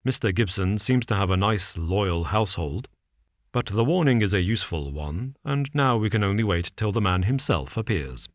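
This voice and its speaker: A man reads a calm, shrewd detective, voice dropped a little below his own low register. He lays out facts slowly and deliberately, with a dry, understated edge. The recording is close and dry. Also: no echo, lightly acted. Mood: measured. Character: cool, shrewd male detective, measured and dry.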